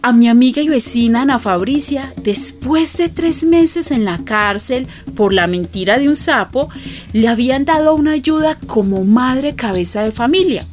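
An actress playing an affectionate, confiding neighbour who narrates a radio drama, colloquial, with a Colombian accent. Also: female voice, sits high, expressive, normal speed, darkish tone, faint music behind. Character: affectionate gossipy woman neighbour narrating her friend's life.

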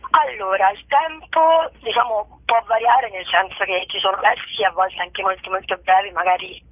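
A woman's voice: mid-range voice, moderate pace, no room sound. A woman giving casual interview answers in a hesitant, meandering way, thinking aloud. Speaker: actress and stand-up performer being interviewed. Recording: dry, tinny phone-like narrow band.

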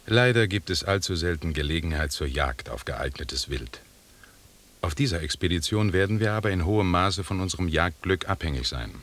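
Ein Schauspieler spielt in einem Hörspiel einen selbstsicheren, pompösen englischen Expeditionsleiter, förmlich und belehrend. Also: male voice, deep voice, animated, normal speed, a bit bright. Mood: grandly formal. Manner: pompous, lecturing. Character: self-assured, pompous English expedition leader, a knighted gentleman. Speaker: actor in a radio drama. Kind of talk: formal radio drama dialogue.

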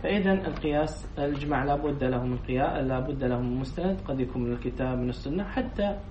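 A man's voice: medium pitch, fast talker, darkish tone. A man teaching a class as a sheikh, reading lines from a text, explaining them and quizzing his students before repeating their answers. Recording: echoey room, distant dull mic, faint noise.

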